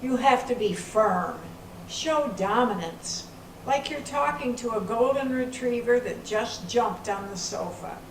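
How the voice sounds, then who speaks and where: A woman's voice: on the high side, expressive, measured pace. A woman playing a confident, bossy grandmother and self-styled tech expert in amateur readers theater, instructing others. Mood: bossy, assured. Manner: instructive, assured. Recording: echoey room from far off, faint noise.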